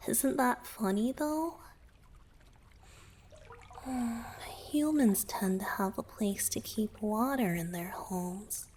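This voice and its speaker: A woman voicing a gentle, playful young merman boyfriend in a romantic ASMR roleplay, soft and breathy, often near a whisper, tender and softly teasing. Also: mid-range voice, a little hiss or hum.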